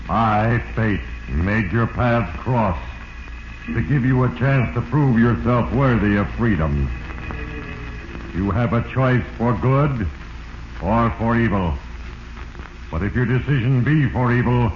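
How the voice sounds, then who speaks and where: An actor playing Fate, a stern, all-powerful narrator, speaking with solemn pomp in 1940s radio drama style. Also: male voice, mid-range voice, takes it slowly, long pauses, close to an everyday voice. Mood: ominous, solemn. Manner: solemn, pompous, portentous. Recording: noisy lo-fi 1940s radio recording.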